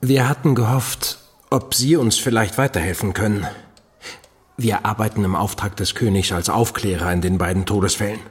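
A man playing an earnest period investigator who narrates his own case in a measured, literary audio drama style. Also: lowish voice, breathy edge. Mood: earnest, formal.

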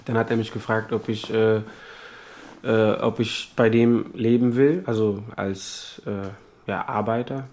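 A man speaks in a low voice with little melodic movement. He tells things off the cuff, often repeating a phrase before moving on. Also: level tone, a little slow, darkish tone. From Africa. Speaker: asylum seeker retelling stories. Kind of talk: unscripted personal storytelling.

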